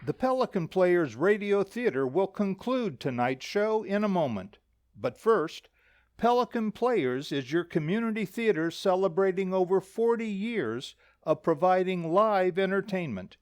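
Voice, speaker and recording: A man's voice: high voice, lively intonation, unhurried, dry recording. A man reading a scripted announcement as the announcer of a community radio theater.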